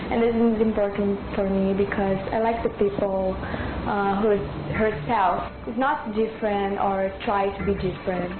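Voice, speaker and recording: A woman's voice: medium pitch, fairly even intonation, moderate pace. Earnest woman contestant, tinny sound. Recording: tinny lo-fi broadcast with loud music.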